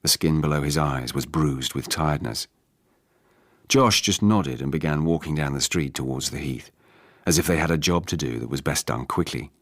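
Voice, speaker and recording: A man reading a novel as a scripted radio narration, in a measured, restrained dramatic way. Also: a bass voice, dry recording, studio quality.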